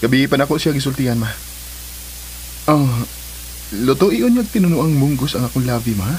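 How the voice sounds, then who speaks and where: A man's voice: mid-range voice, expressive, normal speed, a little mellow, a little hiss or hum, close to an everyday voice, low-quality recording. A man playing a protective, affectionate grown son in a Philippine radio drama, theatrical and emphatic, with a Filipino Cebuano accent.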